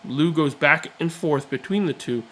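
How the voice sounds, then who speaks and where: A man reading a children's picture book aloud, with expressive, deliberate and dramatic phrasing. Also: a little high. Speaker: read-aloud storyteller.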